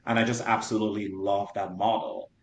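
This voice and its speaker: Young male founder from Cameroon, lowish voice, earnest storyteller, roomy echo. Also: flat delivery, a little fast. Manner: earnest, detailed storytelling with fillers. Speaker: startup founder. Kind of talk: interview answers. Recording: roomy echo, faint rumble.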